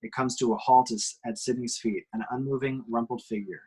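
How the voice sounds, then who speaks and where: Husky man narrating horror action. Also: mid-range voice, steady tone, brisk, warm tone, rough recording. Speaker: reader of action descriptions. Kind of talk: dramatic scripted narration, amateur table read.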